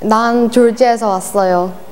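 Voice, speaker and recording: High-voiced teenage girl, echoey room. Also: fairly even intonation, very fast. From Georgia (country). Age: teens. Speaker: student. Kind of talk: short phrases in many languages to camera. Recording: echoey room with background noise.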